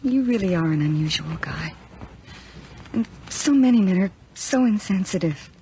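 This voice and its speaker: A woman's voice: mid-range voice, animated, moderate pace, dark tone, lightly acted. An actress playing a frightened young female student in a radio drama, guarded yet tender, hesitant in her speech. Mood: weary, soft. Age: young adult. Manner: hesitant, breathy murmurs and urgent pleas. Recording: noisy, clicky old radio drama recording.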